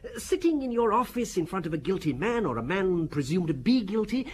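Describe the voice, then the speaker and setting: An actor playing a courteous, obsessive middle-aged gentleman of the law in an audio drama, talking in an eager, effusive, theatrical way. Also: high voice, sing-song, a little fast, slight background noise. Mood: effusive, fervent.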